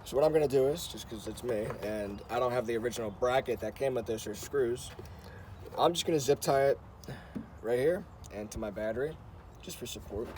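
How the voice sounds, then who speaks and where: A man's voice: medium pitch, a little fast, noisy background. A man hosting a how-to video, talking casually and informally as he explains each step with repeated instructional phrases. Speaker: host of a how-to car channel. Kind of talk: casual hands-on tutorial talk.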